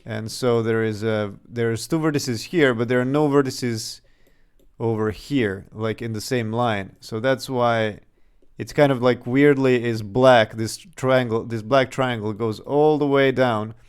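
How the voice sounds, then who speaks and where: Slow, smooth-voiced man with a Serbian accent. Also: mid-range voice. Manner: rambling, chuckling, thinking aloud. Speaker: game developer streaming his work. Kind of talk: casual livestream commentary.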